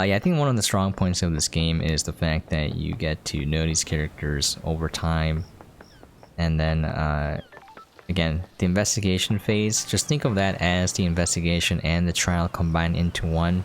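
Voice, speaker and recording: A man with a low-pitched voice talks through a video game as its host. His delivery is casual and rambling, laid-back in manner. There is clear noise behind his voice. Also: normal speed.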